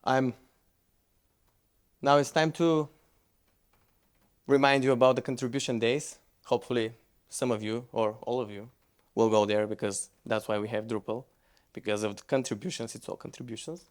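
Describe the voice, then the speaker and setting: Man, conference speaker, mid pitch, loose conversational delivery, restarts often. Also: steady tone, normal speed, clear, resonant tone. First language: Bulgarian. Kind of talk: conference presentation with Q&A.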